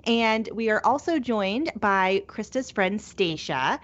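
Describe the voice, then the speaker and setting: A woman's voice: mid-range voice, expressive, slow pace, a bit bright. Chatty woman podcast co-host, dull audio. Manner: chatty, trails off and restarts mid-thought. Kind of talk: casual interview chat.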